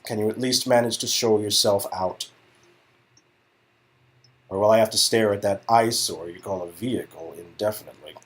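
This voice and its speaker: A man's voice: on the low side, moderate pace, pauses often, lightly acted. A man playing an arrogant, impatient talent agency boss in a comic dialogue reading, brusque and sneering. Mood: barking, exasperated.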